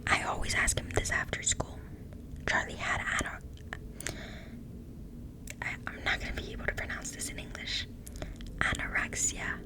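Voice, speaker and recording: A woman with a French accent whispering as she reads a book aloud in ASMR style, softly stumbling over words and correcting herself. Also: normal speed, pauses often, low-quality recording. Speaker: ASMR creator.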